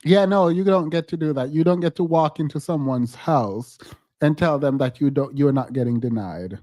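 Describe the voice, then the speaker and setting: A man whose first language is not English hosts a casual livestream, teasing his chat playfully and stretching out words for emphasis. His voice sits a bit high and sounds mellow. The sound is dry and close. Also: moderate pace, no echo. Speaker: livestream host.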